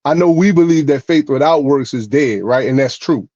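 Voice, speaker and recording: A man co-hosting a podcast explains his views steadily and carefully, pausing often to ask "right?". His voice comes through a little muffled and dry, at a middling pitch. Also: animated, warm tone, no echo. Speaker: podcast co-host. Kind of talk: casual podcast conversation.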